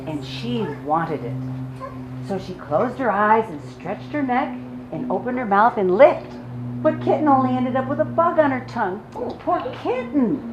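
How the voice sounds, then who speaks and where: A woman telling stories to young children in an animated, sympathetic read-aloud style. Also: on the high side. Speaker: children's storyteller. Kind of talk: expressive picture-book read-aloud. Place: public library story time room. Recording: echoey room with clear background noise.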